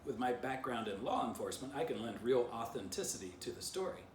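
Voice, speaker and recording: Storybook dog, female, voiced by a man: self-important, bossy, theatrical, swooping pitch, recorded distantly with background noise. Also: animated, quick pace, echoey room, close to an everyday voice. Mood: eager, bossy.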